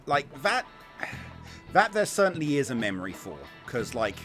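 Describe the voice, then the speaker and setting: Lively, wry male game streamer. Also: on the high side, normal speed. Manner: rambling, sweary, wry. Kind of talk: casual live game commentary. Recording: game music behind the voice.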